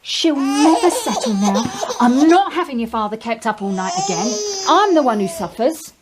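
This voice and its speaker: High-voiced, scolding English mother. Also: sing-song, moderate pace, background noise. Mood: exasperated. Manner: sharp, nagging, long-suffering. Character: harassed, scolding working-class mother of small children. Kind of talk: radio drama acting.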